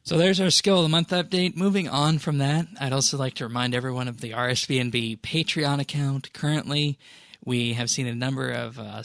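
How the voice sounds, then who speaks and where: A man hosting a podcast, reading out announcements and updates in a measured, businesslike way. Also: mid-range voice, creaky, a bit bright, no room sound. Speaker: podcast host.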